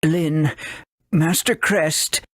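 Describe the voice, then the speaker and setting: A man voicing a fussy, caring elderly owl with a raspy voice and theatrical delivery in a video game. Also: fairly high pitch, drawn-out words, no room sound, clean studio sound. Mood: concerned. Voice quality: raspy, creaky.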